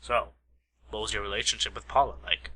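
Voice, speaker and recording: A man's voice: fairly low pitch, level tone, brisk, bright, forceful tone, low-quality recording. A man playing an earnest, questioning investigator in an amateur radio mystery. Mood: businesslike. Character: earnest, questioning male investigator, detective's partner. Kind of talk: amateur radio play acting.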